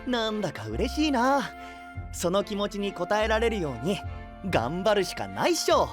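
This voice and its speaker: Cheery, high, bouncy young knight. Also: male voice, sing-song, moderate pace, over music. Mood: cheerful. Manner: breezy, slangy, exclaiming. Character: cheery, casual, upbeat young male knight. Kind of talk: Japanese anime audio drama acting.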